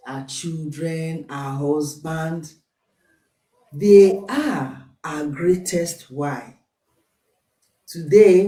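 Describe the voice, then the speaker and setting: A woman from Nigeria hosting a live online talk show, speaking slowly and formally as she welcomes viewers. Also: sits low, warm tone, roomy sound, distant mic, poor audio. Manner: slow, deliberate, formal welcomes.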